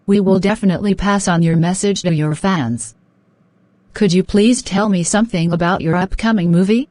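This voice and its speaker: Woman playing a polite, lower-voiced cartoon interviewer. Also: normal speed, mellow, no echo, lightly acted. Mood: polite, interested. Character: polite, eager young woman interviewer and friend. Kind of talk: scripted English-learning dialogue.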